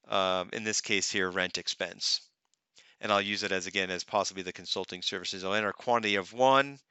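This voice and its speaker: A man presents a software walkthrough in a clear, resonant and fairly low voice. His delivery is explanatory and conversational, moving step by step. The close-miked voice-over sounds very dry. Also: no echo, dull audio. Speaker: software demonstrator. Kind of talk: narrated software tutorial, semi-scripted walkthrough. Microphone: close microphone, screen-recording voice-over.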